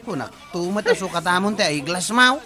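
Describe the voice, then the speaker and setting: A woman's voice: fairly low pitch, lively intonation, a little fast, pushed and bright, soft background music. A woman playing a cheeky, nagging young relative in a comic radio drama. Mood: exasperated.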